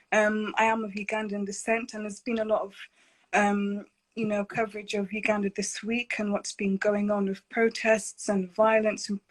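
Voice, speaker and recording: A woman's voice: mid-range voice, rough recording. A woman hosting a live video interview, speaking in a friendly way with ums and you-knows. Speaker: podcast host.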